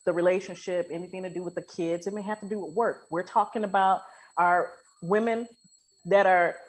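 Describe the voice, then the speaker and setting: A woman's voice: fairly low pitch, steady tone, brisk. A woman speaking as a guest coach in a casual podcast conversation, earnest and colloquial, with emphatic phrasing.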